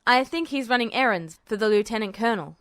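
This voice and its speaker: A woman's voice: a little high, lively intonation, fast, a bit bright. A woman voicing a tall, cheerful soldier who teases and jokes in a storybook reading. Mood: teasing. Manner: teasing, stage-whispering.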